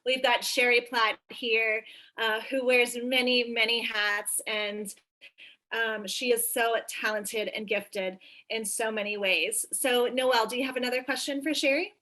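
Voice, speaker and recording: Female online show host, higher pitched and bright. Casual, chatty hosting over a video call. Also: steady tone, measured pace. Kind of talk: casual live-stream hosting.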